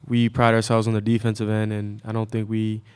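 A man's voice: on the low side, monotone, brisk, a little mellow, clean tone. A man in his early twenties, a college athlete, answering press questions in a hesitant, subdued way. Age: early 20s. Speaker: college basketball player. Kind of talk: postgame press conference answers. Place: indoor press conference room. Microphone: table microphone.

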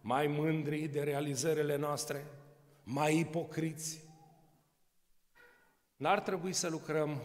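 Man in his sixties, pastor, gravelly, conversational sermon with rhetorical questions, reverberant church hall. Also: medium pitch. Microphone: podium microphone.

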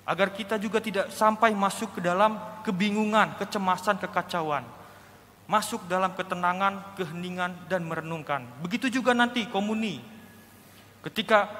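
A man's voice: high-pitched, sharp tone. A young man, a deacon, giving a Sunday homily from the pulpit in a conversational way, asking the congregation questions and dropping in casual asides. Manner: conversational preaching with rhetorical questions and slang asides. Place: large church, at the pulpit. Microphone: pulpit microphone. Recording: church hall reverb.

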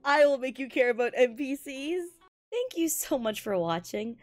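A woman streamer chatting playfully and casually to viewers, expressive and slangy, close on a studio microphone. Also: high-pitched, moderate pace, no room sound.

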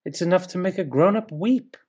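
A man's voice: sits high, fairly quick, a little mellow, husky. A man plays a sceptical husband of ancient Bethlehem who badly wants his sleep. He delivers spoken-word verse in a British accent, grumbling, sarcastic and exasperated.

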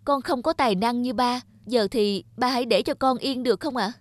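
An actor playing an earnest young animator son who longs for approval, speaking emotionally in an animated drama. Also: male voice, very high-pitched, a little fast, no room sound. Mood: dejected. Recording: background music under the voice.

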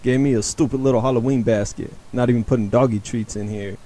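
A man's voice: mid-range voice, steady tone, quick pace, warm tone, close to an everyday voice. A man plays a talking dog in a comedy voiceover. The dog is sassy and indignant, grumbling in casual slang about the humans around it.

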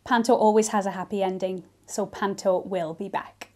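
Young woman presenting, mid pitch. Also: fairly even intonation, normal speed, darkish tone. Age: young adult. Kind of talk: scripted piece to camera. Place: bright living room.

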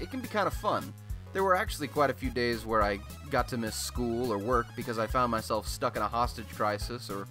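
A man's voice: mid-range voice, pushed and bright, natural voice. A man playing a chatty, irreverent radio host from a superhero city, talking in a wry, casual monologue. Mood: wry, upbeat. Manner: wry, conversational, mildly sweary.

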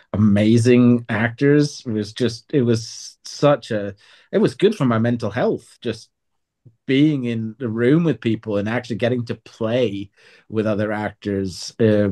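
A man's voice: on the low side, darkish tone. A man chatting casually as an interview guest, wry, self-deprecating and emphatic. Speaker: writer, voice actor and interview guest.

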